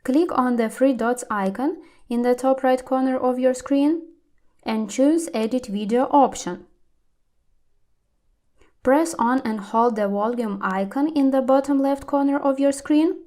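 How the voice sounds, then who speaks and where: A woman's voice: high voice, measured pace, darkish tone. A woman hosting a how-to tutorial, reading scripted instructions step by step. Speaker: tutorial host.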